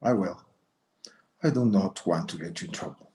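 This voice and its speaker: A man playing a gentle, courteous older rancher and father in a screenplay table read, speaking in a polite, measured way. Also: sits low, close to an everyday voice. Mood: earnest.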